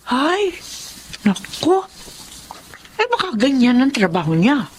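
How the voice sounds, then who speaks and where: A woman's voice: sits high, sing-song, slow pace, exaggerated voice. An actress playing a gossipy, resigned married neighbour and mother in a Filipino radio drama, with faint ambient noise.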